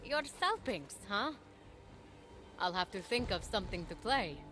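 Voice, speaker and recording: A woman's voice: mid-range voice, big pitch swings, a little slow, over music. A woman playing a plucky, earnest young adventurer who encourages others in a video game. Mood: curious, eager. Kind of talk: video game character acting.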